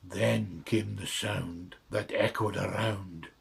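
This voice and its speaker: A man's voice: low-pitched, steady tone, slow, gravelly, husky. A man reading a children's bedtime story in rhythmic verse with dramatic delivery.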